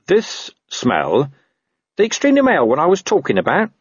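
A man's voice: on the high side, huge pitch swings, normal speed, slightly rough, dry recording, muffled recording, pitched above the performer's own voice, heavily acted. A man voicing a scruffy, streetwise talking dog for an audiobook, with colloquial, hesitant, wheedling speech. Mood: eager to please. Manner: colloquial, hesitant, working-class phrasing. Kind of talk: audiobook character voice.

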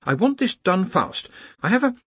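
A man's voice: high voice, big pitch swings, moderate pace, husky, no echo, voice pushed up, heavily acted. A man voicing a harried, orderly wizard organiser, explaining things in an earnest, officious and urgent way. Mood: brisk, officious.

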